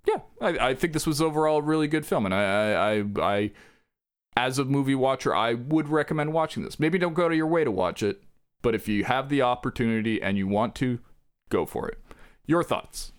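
A man co-hosting a podcast who reviews a movie in a chatty, animated way and often corrects himself. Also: mid-range voice, fairly quick, smooth. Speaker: podcast co-host. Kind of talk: casual movie review chat.

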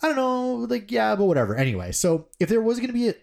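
A man's voice: high-pitched, sing-song, fast, creaky, a little mellow. A young man co-hosting a podcast, chatting casually and rambling, with many filler words. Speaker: podcast co-host. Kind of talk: casual chat.

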